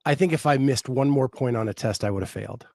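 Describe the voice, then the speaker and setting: A man's voice: medium pitch, fast, gravelly, warm tone, no echo, clean studio sound. A man hosting a podcast, chatting in an easygoing way with frequent hesitations. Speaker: podcast host. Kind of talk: casual chat.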